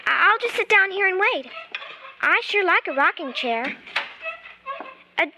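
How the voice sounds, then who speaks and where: A woman's voice: extremely high pitch, lively intonation, unhurried, background noise, heavily acted. A woman playing a plucky, inquisitive little girl in an old-time radio suspense drama, with artless, hesitant childlike phrasing. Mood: feigned innocence.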